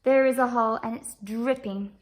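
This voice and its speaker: A woman reading a picture book aloud to young children in an expressive, sing-song way. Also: a little high. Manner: slow, expressive, sing-song delivery with asides. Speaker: story reader for preschool children.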